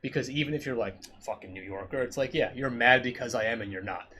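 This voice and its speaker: A man's voice: medium pitch, fast, pushed and bright. A man co-hosting a casual podcast, explaining his views emphatically and swearing often. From New York. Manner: emphatic, profane, explains a theory at length. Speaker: podcast co-host. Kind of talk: casual chat.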